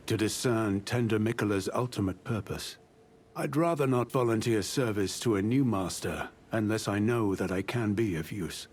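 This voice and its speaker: An actor playing a courteous, world-weary old knight turned scholar in a video game, speaking in formal, measured, archaic phrasing. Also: male voice, on the low side, husky. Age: older. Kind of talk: video game character dialogue.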